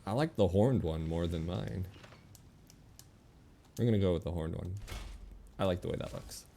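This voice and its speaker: A man giving casual video game commentary, thinking aloud in a relaxed, muttering way as he makes decisions. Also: low-pitched, normal speed, gravelly, slight background noise.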